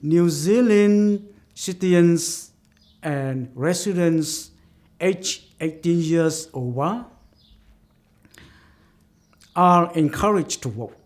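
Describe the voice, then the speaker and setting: A man from Burma reads lines for an information video, taking each phrase slowly and carefully. His voice sits fairly high for a man. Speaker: refugee speaker in an information video. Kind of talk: scripted narration.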